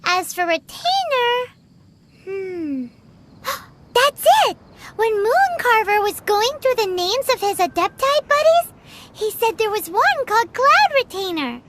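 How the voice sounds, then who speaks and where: An actress voicing a tiny, cheerful, childlike fairy companion in a game, with a squeaky, bubbly, naive delivery. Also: female voice, noisy background, exaggerated voice. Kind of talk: cartoonish game voice acting. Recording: loud game music behind.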